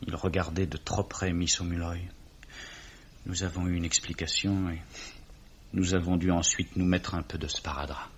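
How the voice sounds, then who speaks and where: A man's voice: deep voice, level tone, moderate pace, slight background noise, lightly acted. An actor playing a distraught, impassioned young man with emphatic, theatrical delivery in a 1950s-style French radio drama. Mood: subdued. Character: distraught young Englishman accusing gang of crooks, impassioned. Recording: dull vintage radio recording.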